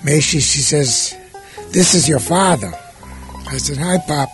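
A man's voice: on the high side, animated, a little slow, over music. An older man recalling his arrival as a boy, telling it with a wry touch. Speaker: interviewee. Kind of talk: personal recollection.

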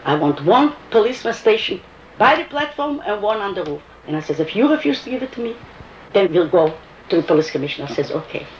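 A woman's voice: medium pitch, quick pace. An older woman with a foreign accent, telling her story in an oral history interview and acting out the dialogue. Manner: animated storyteller, quotes dialogue. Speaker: interviewee recalling activism. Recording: muffled, echoey room with faint hiss.

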